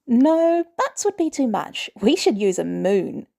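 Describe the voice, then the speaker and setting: A woman's voice: fairly high pitch, very animated, a little slow, gravelly, warm tone, heavily acted. A woman voicing a playful, cheeky teenage boy who talks casually and jokingly in an audio story.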